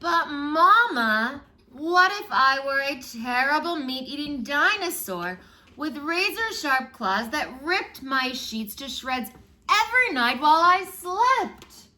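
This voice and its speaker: A small child pleads with their mama in a high, whiny voice, stretching every word out slowly and loudly, as a woman reading a storybook puts it on. The voice sounds like a picture-book character read aloud to children. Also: big pitch swings, full character voice. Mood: pleading. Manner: whiny, drawn-out, emphatic pleading.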